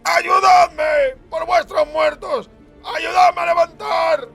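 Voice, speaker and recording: A man voicing a bitter, wounded fighter who shouts in agony for help in an audiobook. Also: very high-pitched, steady tone, vocal fry, faint music behind, pitched above the performer's own voice, full character voice. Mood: agonised. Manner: agonised, drawn-out shouting.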